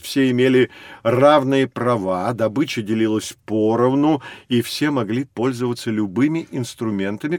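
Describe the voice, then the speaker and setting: A man's voice: mid-range voice, a little mellow, no room sound, lightly acted. An actor playing a knowledgeable male professor who explains prehistory to children in a scripted educational audio drama. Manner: explanatory, lecturing. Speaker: educational guide.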